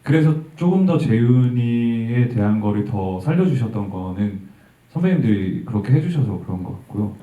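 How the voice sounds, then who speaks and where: A male actor answers an audience question in a thoughtful, halting way, his pitch rising and falling a good deal. He sounds thin and tinny, picked up from far off in an echoing hall. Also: expressive. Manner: reflective, halting, laughs softly. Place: event hall.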